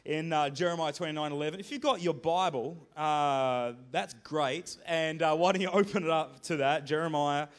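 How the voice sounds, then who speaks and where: An Australian man giving an informal sermon as a visiting preacher, casual and joking, halting at times and moving between soft and loud. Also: on the high side.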